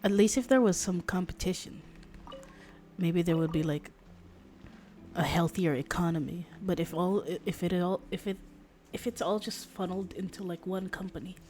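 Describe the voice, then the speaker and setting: A woman's voice: fairly low pitch, moderate pace, darkish tone, a little hiss or hum. A woman streamer chatting casually in a rambling, hesitant, wry way during gameplay. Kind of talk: casual livestream chat over gameplay. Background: video game dialogue.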